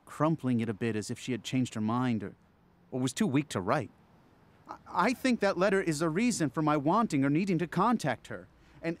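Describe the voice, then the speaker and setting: A man's voice: mid-range voice, lightly acted. A man playing an anxious, obsessed client in an audio ghost story, speaking in a hesitant, stammering, earnest way.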